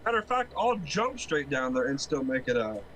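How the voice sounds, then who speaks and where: A man's voice: medium pitch, sing-song, moderate pace. A man bantering casually during a gaming session, needling his friends in a teasing, foul-mouthed way. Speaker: co-player on a game stream. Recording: clear background noise, game vehicle sounds.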